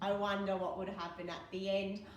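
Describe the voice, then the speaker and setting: A woman teacher giving a read-aloud lesson to young children, with expressive, deliberate delivery. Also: mid-range voice, highs cut off, slight background noise. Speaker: primary school teacher.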